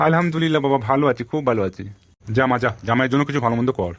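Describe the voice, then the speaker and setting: A man's voice: medium pitch, very fast, lightly acted. A man voicing a blustering, colloquial middle-aged headmaster and father in an amateur comic cartoon. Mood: delighted. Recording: music behind the voice.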